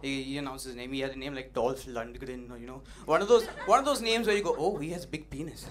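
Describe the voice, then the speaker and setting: A young man doing an open-mic stand-up set, punchy and animated, teasing the audience, on a stage mic. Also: medium pitch, fast. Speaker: stand-up comedian. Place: comedy club stage. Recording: noisy live club recording.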